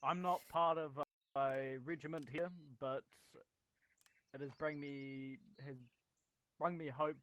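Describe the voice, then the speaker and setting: A man's voice: a little high, fairly even intonation, slow pace, a bit bright. A man from New Zealand giving an earnest, stumbling impromptu speech in voice chat. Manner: earnest, stumbling, repeats words. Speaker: memorial speaker.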